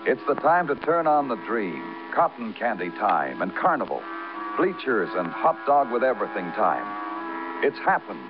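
A man's voice: lowish voice, very animated, normal speed. An American actor playing a world-weary police detective who narrates his case in poetic, clipped, dramatic 1950s radio noir style. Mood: wistful. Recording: muffled vintage radio broadcast, music behind.

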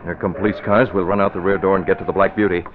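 An American actor in a 1950s radio drama, playing a clipped, authoritative masked vigilante who passes as a newspaper boss. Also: male voice, low voice, steady tone, rapid-fire, very noisy, close to an everyday voice. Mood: commanding. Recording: muffled old radio, music behind.

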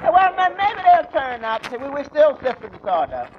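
A very noisy, dull old radio recording carries a folksy waiter. His voice is strikingly high and animated, yet he takes his time, wry in a 1940s comedy manner. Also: moderate pace, full character voice. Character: wry, unhurried male tavern waiter.

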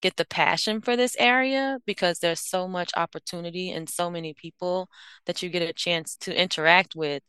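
A woman's voice: on the low side, moderate pace, a bit bright, smooth, no echo. A woman in her thirties from the United States, an I-O psychologist guest, answering thoughtfully with frequent fillers and self-corrections. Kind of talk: reflective interview answers.

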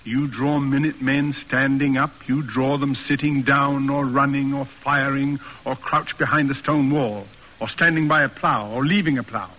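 A man's voice: mid-range voice, fairly even intonation, normal speed, bright, forceful tone, big-room sound, rough recording. An actor playing a stern, pompous middle-aged American father in a formal, declamatory radio drama style. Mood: commanding, emphatic. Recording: old radio broadcast recording.

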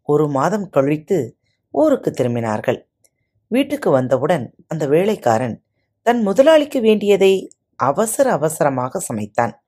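A woman hosting a podcast, narrating a short moral story with expressive delivery. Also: sits low, unhurried, breathy edge.

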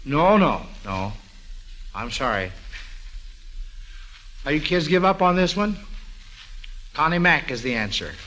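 Casting: American male quiz host for 1940s radio, sharp forceful tone, slightly raised pitch. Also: very animated, a little slow, long pauses, slight background noise, poor audio.

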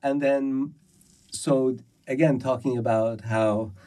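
Man, from Iran, Persian mother tongue, middle-aged, mellow dark tone, thoughtful and hesitant, slightly distant from the mic. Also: medium pitch, fairly even intonation, slow pace. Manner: thoughtful, hesitant, with frequent fillers and restarts. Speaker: poet and translator. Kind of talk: conversational interview.

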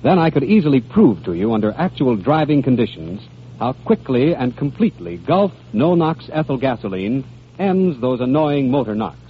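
A man delivering an advertising pitch as a 1940s radio announcer. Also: sing-song, moderate pace. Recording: muffled, noisy old radio recording.

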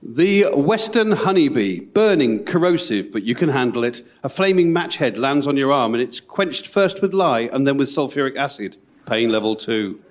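A man's voice: mid-range voice, expressive, normal speed. A male podcast panellist reading aloud in a dramatic way.

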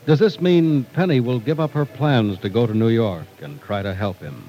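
A man announcing in a polished, deliberate 1940s radio style, reading scripted narration and sponsor copy. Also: mid-range voice, warm tone, soft background music, low-quality recording.

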